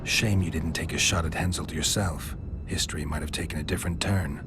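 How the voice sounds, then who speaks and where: An actor playing a stoic monster hunter in a game cutscene, speaking gruffly in terse, flat, probing lines. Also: male voice, very deep voice, a little fast, noisy background. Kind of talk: video game cutscene acting. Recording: loud music over the voice. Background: loud background music.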